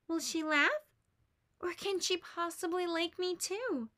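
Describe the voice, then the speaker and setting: A woman's voice: very high-pitched, unhurried, sharp tone, pitched above the performer's own voice, exaggerated voice. A woman reading aloud, voicing an anxious, shy boy who talks nervously and wistfully.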